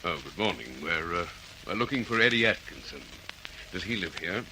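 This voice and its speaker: An actor playing a methodical, courteous police detective in an Australian accent, in classic radio drama style. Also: male voice, low-pitched, expressive, normal speed, background noise. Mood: polite, reassuring.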